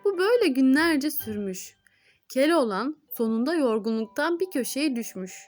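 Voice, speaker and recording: A woman narrates fairy tales for children. She reads from a script as an expressive storyteller. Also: high voice, normal speed, faint music behind.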